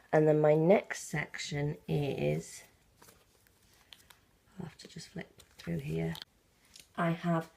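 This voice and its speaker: A low, gravelly woman talks in a friendly, chatty way, her morning voice croaky and husky. She flips through pages as she explains, recorded close on a phone held in her hand. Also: a little mellow. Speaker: planner vlogger. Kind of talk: casual show-and-tell walkthrough. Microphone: handheld phone.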